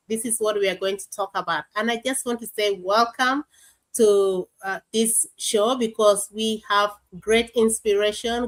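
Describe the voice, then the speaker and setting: A woman's voice: mid-range voice, fairly bright tone, rough recording. Host, female, South Sudanese accent, mid-range voice, introducing an online show.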